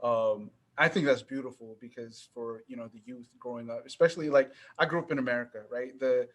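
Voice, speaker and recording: A man hosting a casual interview, enthusiastic and slangy, often letting his voice drop soft. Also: mid-range voice, fairly even intonation, fairly quick. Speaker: podcast host.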